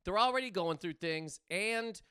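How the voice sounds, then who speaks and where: A man with a high, sharp, forceful voice delivers a comedic rant straight to camera. He is exasperated and incredulous, leaning hard on words and stammering into repetitions. The sound is crisp, clean and dry. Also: expressive, normal speed, no echo. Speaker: comedian and host.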